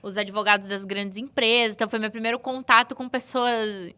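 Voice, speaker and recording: Brief: young woman guest, bright and crisp tone, loose rambling chat. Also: fairly high pitch, level tone, brisk. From São Paulo state, Brazil. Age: 20s. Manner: chatty, loose, many filler tags. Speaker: sports journalist guest. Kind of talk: casual interview chat.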